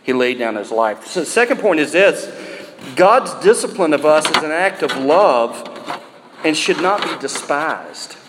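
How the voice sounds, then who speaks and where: A man's voice: a little high, very animated, a little slow. A middle-aged American man preaching a sermon in an emphatic yet conversational way, repeating phrases and posing rhetorical questions. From United States. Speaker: pastor preaching to a church. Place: large indoor room, live sermon. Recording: roomy hall reverb, some background noise.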